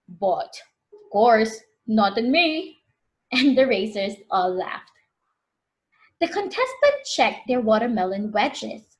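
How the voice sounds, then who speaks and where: A woman's voice: on the high side, rough recording. A woman reading a picture book to young children as a preschool storyteller, expressively, prompting them with questions.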